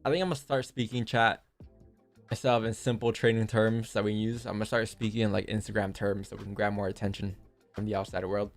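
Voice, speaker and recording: A man's voice: fairly low pitch, level tone, quick pace, faint music behind. A young man hosting a live trading stream, talking casually and jokingly straight to his chat while he walks through charts. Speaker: livestream trading host.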